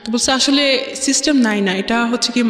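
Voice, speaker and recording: A woman's voice: a little high, moderate pace, a bit bright. Bangladeshi woman storytelling in echoey room. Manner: mixes English words into her talk. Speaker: guest telling her life story. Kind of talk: conversational personal storytelling. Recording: roomy reverberant studio, music underneath.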